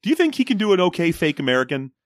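A man's voice: high voice, sing-song, very fast, dry recording, studio quality. A man co-hosting a casual movie recap podcast, joking and giggling as he rambles. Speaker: podcast co-host.

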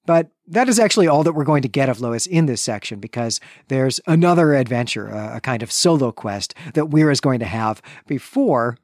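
A man's voice: medium pitch, expressive, a little fast, few pauses, a little mellow, no room sound, studio quality. A man co-hosting a literary podcast, recapping the plot casually and adding asides along the way. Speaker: podcast co-host.

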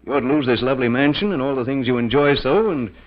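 Scheming male attorney played by a man, medium pitch, measured and urbane. 1940s radio thriller, lo-fi recording. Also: natural voice. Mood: hushed, scheming. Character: scheming, smooth-talking male lawyer, calculating and skeptical. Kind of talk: 1940s radio mystery acting.

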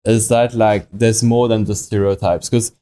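A young man with a French accent and a low-pitched, very mellow voice. He chats informally as a podcast guest, recounting things in an easy conversational style and often quoting himself as he goes. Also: level tone, moderate pace. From France. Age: young adult. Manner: informal, frequent self-quoting in anecdotes. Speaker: podcast guest, watch company founder. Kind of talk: casual conversational storytelling.

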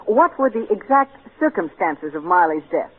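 A man's voice: sits high, big pitch swings, normal speed, breathy edge, heavily acted. An actor playing a snooty, precocious rich boy with prim, formal diction in old-time radio style. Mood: skeptical, pedantic. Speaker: actor in a radio comedy-drama. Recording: narrow tinny vintage radio broadcast.

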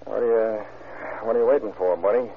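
An actor playing a gruff, wisecracking policeman, hard-boiled and halting, in a 1940s style radio crime drama. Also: lowish voice, fairly even intonation, slight background noise, close to an everyday voice. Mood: wary, bossy. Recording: tinny, muffled old radio recording.

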